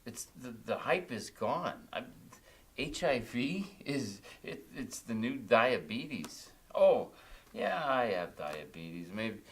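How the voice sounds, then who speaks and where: An actor playing a drunk, grieving widower who rambles and stammers bitterly in a film drama. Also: male voice, mid-range voice, sing-song, slow, a bit bright. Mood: defensive, bitter. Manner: rambling, combative, stammering venting. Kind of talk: naturalistic film drama dialogue.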